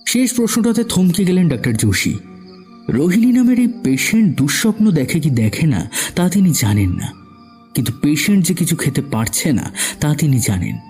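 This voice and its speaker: A man's voice: fairly high pitch, big pitch swings, moderate pace, darkish tone, soft background music. A man narrating a scripted suspense story as the narrator of an audio drama.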